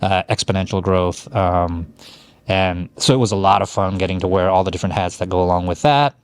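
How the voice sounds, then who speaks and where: Middle-aged man from Hawaii, low dry voice. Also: a little fast, smooth, no room sound. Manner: rambling, frequent fillers and self-corrections. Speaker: podcast co-host. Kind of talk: casual conversational storytelling.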